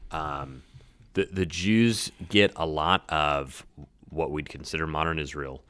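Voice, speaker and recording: Deep-voiced man summarizing history. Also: slow, fairly bright tone, clean tone. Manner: thinks aloud with restarts, sums up and checks facts. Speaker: podcast co-host. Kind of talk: casual history discussion.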